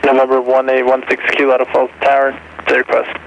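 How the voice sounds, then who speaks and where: A man's voice: mid-range voice, monotone, quick pace, creaky. A man working as a tower controller, giving clipped instructions over aviation radio. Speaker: air traffic controller. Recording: slightly noisy aircraft radio transmission.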